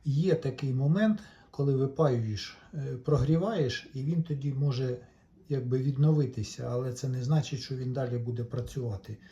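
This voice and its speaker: A man giving casual hands-on repair commentary, talking to viewers in an unhurried way as he works. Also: medium pitch, mellow, highs cut off. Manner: unhurried, talks along while working, addresses viewers. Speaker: electronics repair video maker.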